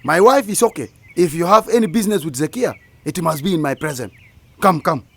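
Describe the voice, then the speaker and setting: A man playing a suspicious, hot-tempered husband in a radio drama, loud, curt and commanding. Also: fairly high pitch, expressive, a little fast, breathy edge. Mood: angry, possessive.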